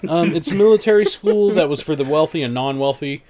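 A man's voice: on the high side, expressive, brisk, darkish tone. A young man co-hosting a podcast, chatting loosely and swearing while he lays out the history. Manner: loose, rambling, laughs easily, swears. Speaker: podcast co-host. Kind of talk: casual chat.

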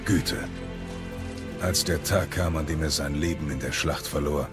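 A man playing a stoic Spartan warrior father in a game-based audio drama, speaking gravely in terse, measured phrases. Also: very deep voice. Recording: music behind the voice.

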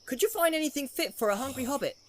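A man's voice: extremely high pitch, expressive, fast talker, a little hiss or hum, pitched above the performer's own voice. A man voicing a loyal, plain-spoken hobbit servant with blunt, homely, rustic speech in a storybook reading. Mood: brisk, teasing.

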